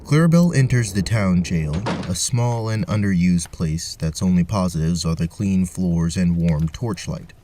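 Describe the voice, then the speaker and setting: A man's voice: deep voice, normal speed, few pauses, recorded from a distance, noisy background. A man narrating a scripted tabletop fantasy story as the game master.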